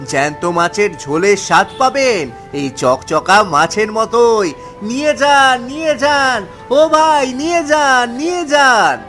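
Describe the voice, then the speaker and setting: Man acting a high-pitched, plaintive poor fisherman. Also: expressive, takes it slowly, a bit bright, soft background music, heavily acted. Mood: calling out wares. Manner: plaintive, earnest. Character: poor, honest village fisherman, pleading and long-suffering. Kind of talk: cartoon story acting.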